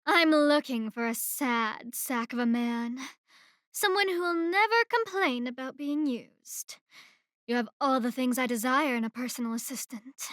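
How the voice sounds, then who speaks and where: Slow, high-voiced haughty female boss played by an actress. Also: a bit bright, dry recording. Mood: commanding, sultry. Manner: condescending, deliberate, mocking. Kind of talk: ASMR roleplay acting. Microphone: close microphone in a dry room.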